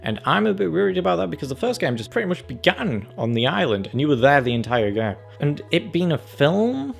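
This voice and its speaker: A man's voice: fairly high pitch, very animated, brisk, creaky, soft background music. A man giving a casual, chatty trailer review as a channel host, acting out his reactions as he goes. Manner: chatty, acts out reactions and quotes.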